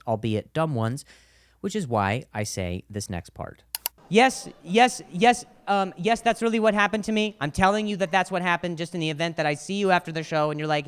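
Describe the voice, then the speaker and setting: A stand-up comedian in his thirties speaks with a noticeable stutter. His voice sits high, and he grows animated and exasperated as he works the room. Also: clean tone. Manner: animated, exasperated, escalating outbursts. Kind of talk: stand-up comedy and video narration.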